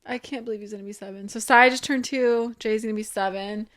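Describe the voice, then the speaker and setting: A woman's voice: a little high, fast talker. Thirtysomething woman chatting on a podcast, gushy, says "like" a lot. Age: 30s. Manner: chatty, animated, lots of "like" and "oh my gosh". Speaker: podcast co-host. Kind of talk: casual chat.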